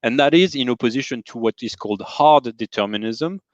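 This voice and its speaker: A man's voice: medium pitch, animated, brisk, dry recording, lo-fi sound. A man from France with a French accent, a guest philosopher who explains ideas carefully, defining terms step by step. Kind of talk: careful explanatory interview talk.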